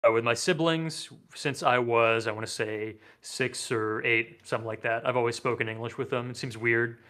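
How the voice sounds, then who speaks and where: A young man whose first language is French, chatting as a podcast guest over a video call in a relaxed, thoughtful way with frequent laughs. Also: medium pitch, moderate pace. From France. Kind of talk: casual chat. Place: home room, seated at desk. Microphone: desk mic with headset, video call.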